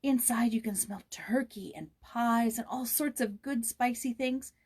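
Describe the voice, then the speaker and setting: Woman as a young storybook girl, raised pitch, plaintive, eager, emphatic, expressive. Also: unhurried, slightly rough. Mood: plaintive grumbling.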